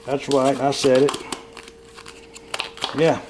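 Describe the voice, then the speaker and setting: Hoarse man chatting through a home demo. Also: medium pitch, flat delivery, slow, background noise. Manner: rambling, chatty, self-correcting. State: hoarse from bronchitis. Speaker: camping vlogger. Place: at home, indoors.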